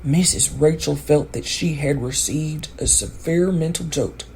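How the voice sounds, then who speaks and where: A woman's voice: deep voice, measured pace, darkish tone, slight background noise, low-quality recording. A woman reading a classic storybook aloud as scripted audiobook narration. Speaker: audiobook reader.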